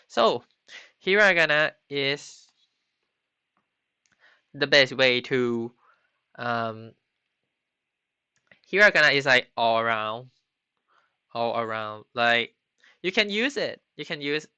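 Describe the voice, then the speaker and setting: Slow, halting man teaching online. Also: mid-range voice, expressive, bright, forceful tone, clean tone, no echo. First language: not Japanese. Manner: halting, many ums and restarts. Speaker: Japanese language teacher. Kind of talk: live-streamed lesson. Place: home computer setup. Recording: slightly dull lo-fi stream audio.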